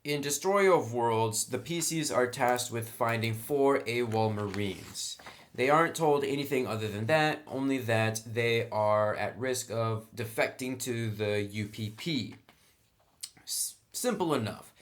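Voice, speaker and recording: A man with a medium-pitched voice presents an informal product review. He explains each item in a conversational manner, as a host walking listeners through the details. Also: unhurried.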